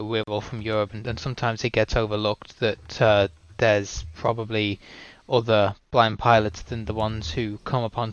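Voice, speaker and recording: A man with a lowish, flat-toned voice hosts a casual online discussion. He talks in a friendly, rambling manner, affirming others, over slightly dull, dry call audio. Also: smooth, no echo. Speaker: round table host.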